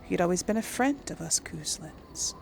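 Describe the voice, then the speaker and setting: A woman's voice: lowish voice, animated, moderate pace, creaky, a little hiss or hum. A woman casually commenting on and role-playing through a video game, musing in a hesitant way. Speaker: gaming video host.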